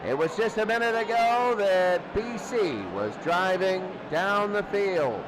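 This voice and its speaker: A man with a high voice gives excitable play-by-play football commentary in a classic radio style. His calls swell with the action, over loud background noise. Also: a little slow. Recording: noisy recording with loud background hiss.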